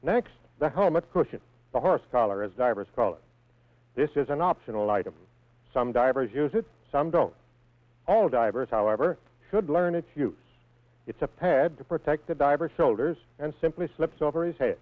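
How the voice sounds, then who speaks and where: A man reading scripted instructional narration for a training film in a measured, precise manner. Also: fairly high pitch, lively intonation, no room sound. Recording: tinny band-limited old film soundtrack.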